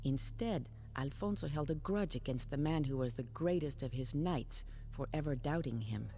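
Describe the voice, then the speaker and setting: An actress playing a medieval Spanish noblewoman who recounts her husband's deeds in measured, scripted storytelling for a game. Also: deep voice, warm tone, soft background music. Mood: solemn, wistful.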